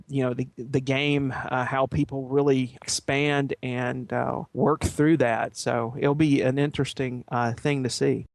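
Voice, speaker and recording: A man's voice: medium pitch, no room sound. A man talking casually as a podcast panelist, in a thoughtful, rambling way.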